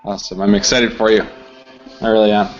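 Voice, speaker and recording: A man's voice: fairly low pitch, flat delivery, quick pace, soft background music. The easygoing male facilitator of a workshop circle, thanking and nudging each speaker along, heard on a dull recording. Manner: informal, encouraging. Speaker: group facilitator. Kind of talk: casual, encouraging group facilitation. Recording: dull lo-fi audio.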